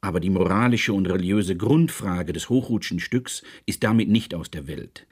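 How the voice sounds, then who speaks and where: Slightly husky male documentary narrator. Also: sits low, normal speed, darkish tone.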